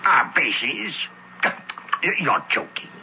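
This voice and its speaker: Jovial flustered merchant dad, old radio play, voice swoops around, dull hissy recording. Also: male voice, fairly high pitch, very animated, extremely slow, heavily acted. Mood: jovial, indulgent. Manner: hesitant, chuckling bluster. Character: jovial, blustering merchant father, indulgent and flustered. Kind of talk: old-time radio drama acting.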